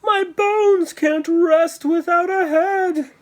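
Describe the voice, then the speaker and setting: A man voicing a restless headless skeleton from a children's storybook, wailing in long, drawn-out cries. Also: extremely high pitch, fairly even intonation, pitched above the performer's own voice. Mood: mournful wailing.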